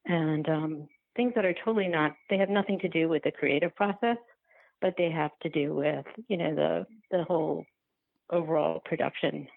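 A woman speaking casually as an interview guest, halting and restarting her sentences, over a thin phone-like line. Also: deep voice, creaky, no echo. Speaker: interview guest, documentary filmmaker.

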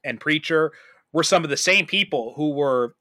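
A man with a slightly high, bright and forward voice hosts a casual podcast. He talks conversationally, as in a discussion with a guest. The recording is dry. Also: moderate pace, no room sound. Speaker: podcast host.

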